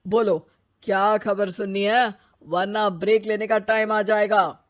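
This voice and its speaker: A woman's voice: mid-range voice, steady tone, a little slow, close to an everyday voice. A woman voicing a cheeky male newsreader who lives inside a magic television, chatty and self-important, in a children's story.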